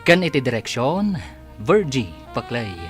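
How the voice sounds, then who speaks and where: A man's voice: medium pitch, big pitch swings, a little slow, faint music behind. A man with an Ilocano accent narrating as a radio drama announcer in a scripted, dramatic style.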